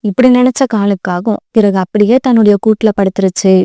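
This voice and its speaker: Female narrator for children's tales: soft-edged mellow tone, medium pitch, very dry recording. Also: fairly even intonation, brisk, no room sound. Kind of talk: scripted children's story narration.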